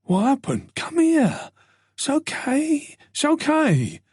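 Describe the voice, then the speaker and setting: A male narrator voicing a police colleague who talks in a gentle, soothing, casual way. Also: very high-pitched, wildly swooping pitch, slow, dry recording, studio quality, pitched above the performer's own voice, exaggerated voice.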